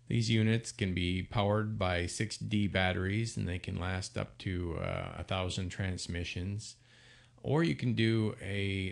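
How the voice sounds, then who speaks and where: A man giving an unscripted product demonstration in a plain-spoken, informal way, halting now and then as he explains features. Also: sits low, gravelly. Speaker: product presenter for an intercom seller.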